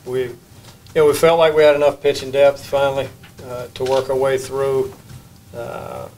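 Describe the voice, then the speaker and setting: An older man, a baseball head coach, answering questions at a postgame press conference in a plain, measured way at a table microphone. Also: medium pitch, darkish tone, slight background noise, low-quality recording. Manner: measured, plain-spoken, many restarts. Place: press room. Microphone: table mic.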